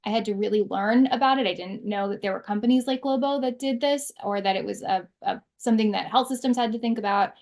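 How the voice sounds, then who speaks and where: A woman's voice: fairly high pitch, fast, few pauses, darkish tone. A woman speaking as the host of a conversational interview.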